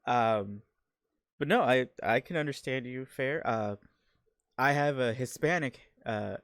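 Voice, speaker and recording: A man's voice: medium pitch, measured pace, a bit bright, dry recording, studio quality. A man hosting a casual interview, chatting loosely with many fillers and restarts. He also narrates a playful intro scene. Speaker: talk show host. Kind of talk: casual online interview with a role-played intro.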